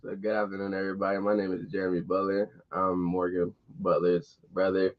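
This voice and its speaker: A man's voice: sits low, monotone, fairly quick. A man in his twenties with an American accent, talking casually as a panel guest. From Washington DC area, United States. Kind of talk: casual chat. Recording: dull, lo-fi call audio.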